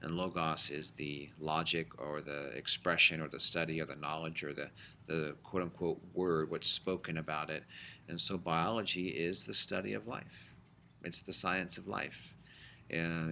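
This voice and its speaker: A middle-aged man teaching a high school biology class in a recorded lecture, explaining in a conversational way with frequent fillers. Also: steady tone, moderate pace, vocal fry, sharp tone, slight background noise.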